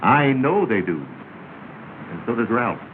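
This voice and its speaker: Genial middle-aged American family man, courteous early-talkie delivery, animated intonation, thin noisy soundtrack. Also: mid-range voice, unhurried. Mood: reassuring. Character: genial, reassuring well-to-do family man and adviser. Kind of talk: early 1930s film acting. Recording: noisy, tinny 1930 film soundtrack.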